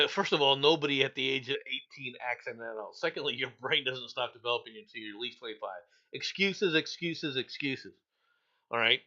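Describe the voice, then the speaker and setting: A man's voice: mid-range voice, fairly quick, pushed and bright, muffled recording. A male talk show host giving blunt, opinionated news commentary, repeating himself for emphasis.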